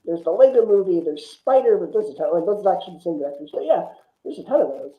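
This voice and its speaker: Fast-talking man on a muffled connection. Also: high-pitched, very animated. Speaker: podcast guest. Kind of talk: casual film chat.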